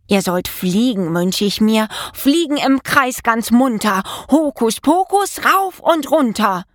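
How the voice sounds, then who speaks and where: An excitable young magic mouse from a children's storybook, acted out by a woman, pitched high and lifted well above an everyday voice. He is gleeful and exuberant, chanting his words with great relish in a dry, close recording. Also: takes it slowly, no room sound. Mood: mischievous. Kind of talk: children's storybook character reading.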